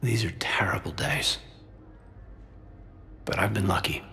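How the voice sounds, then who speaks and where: An actor playing an earnest, soft-spoken male soldier who has just been made an elite agent. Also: fairly low pitch, expressive, a little slow, whispered, heavily acted. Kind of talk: video game voice acting.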